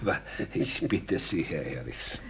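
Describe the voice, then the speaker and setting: An actor playing a flustered, obsequious bailiff in a radio comedy, hesitant and stammering. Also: male voice, rumbling low, big pitch swings, normal speed, a bit bright, slight background noise, heavily acted. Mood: polite. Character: flustered, deferential bailiff passed off as a nobleman. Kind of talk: German radio play comedy acting.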